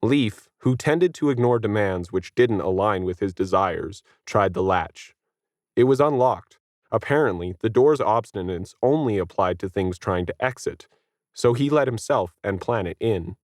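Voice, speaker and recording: Low-voiced male story narrator, dry recording. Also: animated, measured pace, darkish tone, no room sound. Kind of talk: scripted fiction narration.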